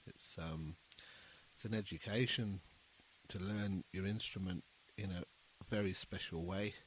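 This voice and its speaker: Middle-aged man, slightly low pitch, earnest, casual interview storytelling, repeated words. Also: fairly even intonation, unhurried, a little hiss or hum, low-quality recording. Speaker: musician guest, saxophone busker.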